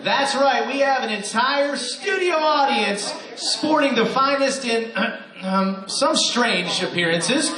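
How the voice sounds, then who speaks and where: A man's voice: extremely high pitch, animated, takes it slowly, crowd noise. A man hosting a live radio show as announcer, with a theatrical showman's delivery before a studio audience. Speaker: host and announcer. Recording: echoey live room with audience.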